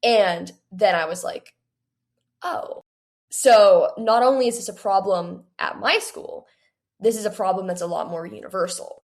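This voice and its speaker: A woman's voice: mid-range voice, measured pace. A woman in her late teens, a guest talking conversationally and earnestly as she explains things in an interview. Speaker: guest, young adult author.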